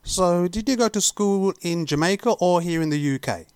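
A woman's voice: fairly low pitch, normal speed, darkish tone, clean tone. A woman interviewer asking brief, friendly questions.

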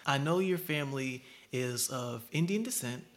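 A man hosting a podcast interview, upbeat and effusive, with the host's warm enthusiasm for his guest. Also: mid-range voice, animated, normal speed. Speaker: podcast host.

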